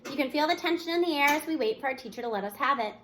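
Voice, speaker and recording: High-pitched woman reading a story aloud. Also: animated, racing, a bit bright, a little hiss or hum. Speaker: audiobook-style reader. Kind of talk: expressive read-aloud of a children's novel, first-person student narration.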